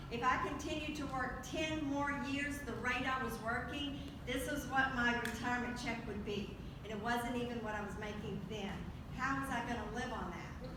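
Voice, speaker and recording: Woman, earnest testimonial speaker, slightly high voice, booming hall echo, distant mic. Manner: earnest, emphatic storytelling. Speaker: speaker at a business event. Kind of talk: testimonial speech. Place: large hall. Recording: echoey hall, mic from afar, noisy.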